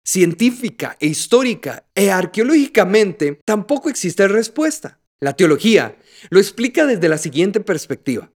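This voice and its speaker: High-pitched, animated Costa Rican man. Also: normal speed. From Costa Rica. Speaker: podcast host. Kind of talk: scripted explanatory narration.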